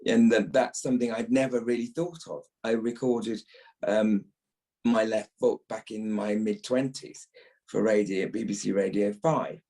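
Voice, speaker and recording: Older british guy, gravelly voice, interview guest, halting and thinking out loud. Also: lowish voice, fairly even intonation. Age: middle-aged or older. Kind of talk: reflective conversational interview.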